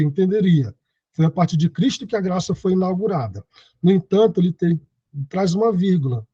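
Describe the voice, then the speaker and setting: A man in his thirties teaching an online Bible lesson, reading verses aloud and then unpacking them. His voice sits fairly high, with a mellow, husky tone. The audio is dry and dull, like a lo-fi video call. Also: dry recording. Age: 30s. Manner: explanatory, reads scripture aloud and comments.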